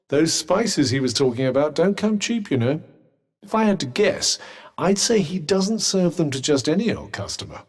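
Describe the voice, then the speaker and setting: A man with a British accent voicing a haughty, sardonic talking magical object in a video game, with a dry, condescending and theatrical manner. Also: a little high. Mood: dry, musing. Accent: British English. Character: sardonic, haughty, well-spoken talking magical cuff, male. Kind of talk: video game character dialogue.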